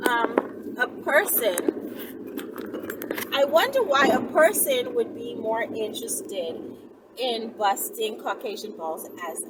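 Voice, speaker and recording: A woman talking casually as an online host answering viewers, informal, sassy and emphatic, repeating herself to make a point. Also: fairly high pitch. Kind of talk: casual chatty vlog talk. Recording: distant mic, loud vehicle-like background noise.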